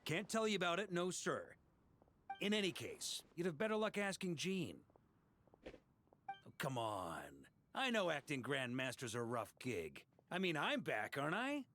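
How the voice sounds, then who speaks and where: A jovial grandmaster of knights in a video game. His voice sits fairly high and swings freely, with a bright edge. He jokes and teases in a breezy, casual way over game music. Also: very animated, a little slow, exaggerated voice. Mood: breezy, teasing. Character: jovial, teasing grandmaster of knights, adult man.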